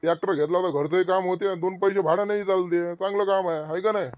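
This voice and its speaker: A man playing a blunt, excitable middle-aged village farmer uncle in a comedy cartoon, speaking emphatically in an earthy Vidarbha Marathi accent. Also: high-pitched, quick pace, dull audio, close to an everyday voice. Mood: admiring chatter. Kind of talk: homemade rural comedy cartoon dialogue.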